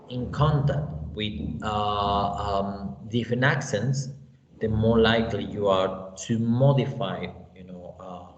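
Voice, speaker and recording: Slow-talking Mexican man teaching. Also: on the low side. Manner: unhurried, tags points with "you know" and "okay?". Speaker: English teacher. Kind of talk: online class lecture. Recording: echoey, roomy, dull lo-fi sound with faint hiss.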